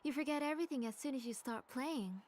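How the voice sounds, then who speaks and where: An actress playing a caring mother in a video game who fusses gently over her child. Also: high voice, fast, slight background noise, natural voice. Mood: doting. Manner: gentle, doting, scolding softly. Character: fussing caring village mother.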